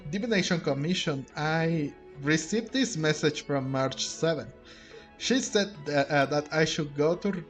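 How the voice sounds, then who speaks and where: A man doing casual gameplay commentary, thinking aloud in a halting way and sometimes reading game text. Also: fairly high pitch. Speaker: game streamer. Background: game music.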